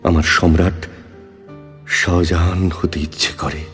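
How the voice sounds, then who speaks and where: A man's voice: sits low, level tone, a little slow, fairly bright tone. A man playing a lovelorn, brooding poet in an emotive recited verse dialogue, with theatrical phrasing. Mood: anguished yearning. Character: lovelorn male poet, wistful, yearning, brooding. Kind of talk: dramatic Bengali poetry recitation as phone dialogue. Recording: long reverb, music underneath.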